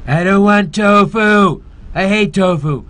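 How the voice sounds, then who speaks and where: A man impersonating a petulant, spoiled president in a comedy bit, with clear background noise. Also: high-pitched, slow pace, a bit bright, pitched above the performer's own voice. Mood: indignant whining.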